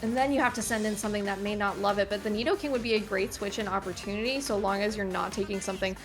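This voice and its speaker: A woman calls a competitive match at a rapid clip, breaking down each move in a brisk, analytical way. Steady music plays clearly underneath her voice. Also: mid-range voice. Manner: brisk, analytical, self-correcting. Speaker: esports commentator. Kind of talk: play-by-play game commentary. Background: background music.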